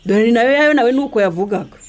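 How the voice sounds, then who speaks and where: Lady playing village wife in a radio play, high voice, really animated, butts in. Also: racing, lightly acted. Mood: storytelling. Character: outspoken village wife, quick to butt in. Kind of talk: Kinyarwanda radio drama acting.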